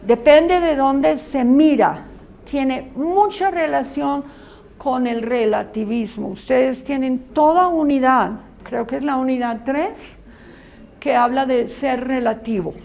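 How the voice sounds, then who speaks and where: A woman's voice: sits high, slow pace, vocal fry, darkish tone. A woman Bible teacher giving a conversational classroom lecture to students. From Colombia. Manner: earnest, conversational teaching with asides.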